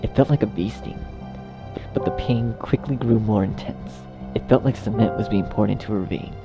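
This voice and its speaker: Guy narrating a dark story, creaky gravelly voice, music playing clearly behind him. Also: fairly low pitch, normal speed, highs cut off. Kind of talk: scripted storybook narration of dark fan fiction.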